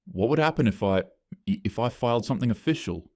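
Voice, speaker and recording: A man's voice: mid-range voice, lively intonation, brisk, mellow, dry recording, studio quality, voice pushed up, natural voice. A man reading the lines of an upset young female office employee, hesitant and aggrieved.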